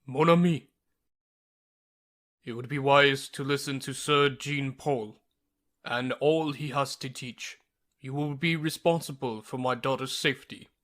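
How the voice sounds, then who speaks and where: A man's voice: mid-range voice, fairly even intonation, a bit bright, lightly acted. An actor playing a commanding middle-aged king and father, speaking formally in an ASMR roleplay. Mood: regal. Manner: formal, regal, unhurried.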